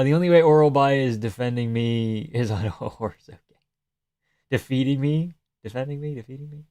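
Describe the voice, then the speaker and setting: A man's voice: mid-range voice, a little mellow, smooth, no echo. A young man from Canada hosting a live stream, chatting casually and sarcastically as he reads out viewer comments and swears freely.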